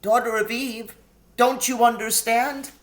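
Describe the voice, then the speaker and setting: A timid, kind storybook faun, pleads in a voice pitched far up. He is weepy and full of guilt, stammering through his anguish. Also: steady tone, a little slow. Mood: guilty, distraught.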